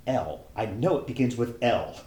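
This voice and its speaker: A man's voice: medium pitch, animated, moderate pace, darkish tone, reverberant, pitched above the performer's own voice, natural voice. A man reading aloud as a curious young girl who rambles and muses to herself, timid when asking questions. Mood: musing.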